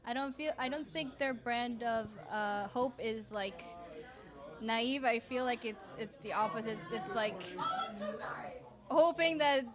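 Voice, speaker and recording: A woman's voice: fairly high pitch, takes it slowly, a crowd in the background. A young woman from Costa Rica, an art teacher, chatting enthusiastically in an interview at an art gallery, laughing often. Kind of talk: casual interview chat.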